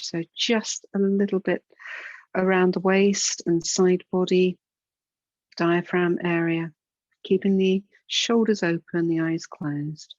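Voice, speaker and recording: Female yoga nidra guide, lowish pitch, soothing and unhurried, very dry room sound. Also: no echo, highs cut off. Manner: soothing, unhurried, gentle guidance with pauses. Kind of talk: guided relaxation and breathing instruction.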